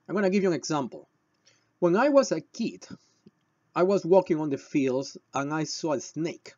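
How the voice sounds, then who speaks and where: A man from Peru with a Peruvian Spanish accent and a husky voice, explaining and telling stories to viewers in short, emphatic phrases with deliberate pauses. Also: mid-range voice, a little mellow, dry recording, highs cut off. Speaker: shamanic healer presenting a video. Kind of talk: explanatory talk with personal storytelling.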